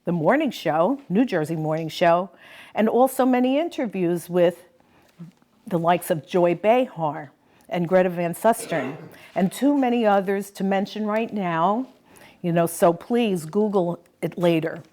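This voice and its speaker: A woman reads out a prepared introduction for a guest speaker. Her delivery is formal and deliberate, as an introducer addressing a meeting. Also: fairly low pitch, warm tone. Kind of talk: scripted speaker introduction, read aloud.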